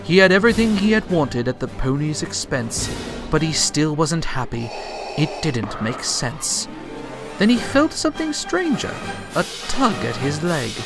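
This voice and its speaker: A man narrating a rhyming story in a dramatic, theatrical storybook style. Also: a little high.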